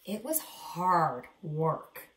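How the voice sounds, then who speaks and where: Animated woman reading a storybook aloud. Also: on the low side. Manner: dramatic, deliberate emphasis. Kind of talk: expressive children's read-aloud. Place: small living room, indoors. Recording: roomy, echoey indoor sound.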